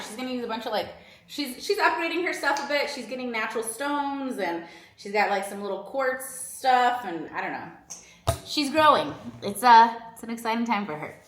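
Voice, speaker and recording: A woman, chatting casually to camera in the early morning, relaxed and quick to laugh, with a stuffy, sniffly nose. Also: on the high side, roomy sound. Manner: chatty and relaxed, laughs often, drifts off mid-sentence. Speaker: vlogger. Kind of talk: casual vlog chat to camera. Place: hotel room in the early morning.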